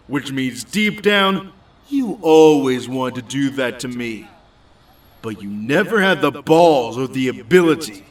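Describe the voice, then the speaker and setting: A man's voice: on the high side, fairly bright tone, recorded from a distance. A man playing a hot-headed, vengeful young bully in an audio drama, taunting and cowardly underneath. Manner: taunting, aggrieved.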